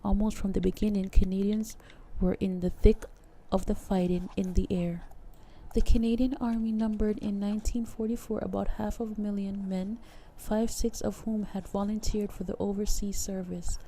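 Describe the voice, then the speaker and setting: A woman's voice: mid-range voice, flat delivery, moderate pace, warm tone, a little hiss or hum, close to an everyday voice, low-quality recording. A woman voicing a nosy female schoolteacher who overshares and then drones through a history lesson. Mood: chatty.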